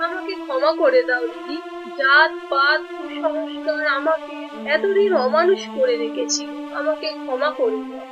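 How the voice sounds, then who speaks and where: A woman's voice: high voice, normal speed, lightly acted. A woman playing a landlord's proud, dramatic wife in a melodramatic cartoon. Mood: pleading. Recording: muffled, loud music behind.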